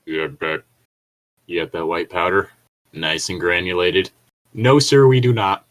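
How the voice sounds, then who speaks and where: A man's voice: low voice, fairly even intonation, unhurried, fairly bright tone. A man co-hosting a story read-along, moving between reading aloud and irreverent, sweary, digressive joking asides. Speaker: co-host reading and commenting on stories.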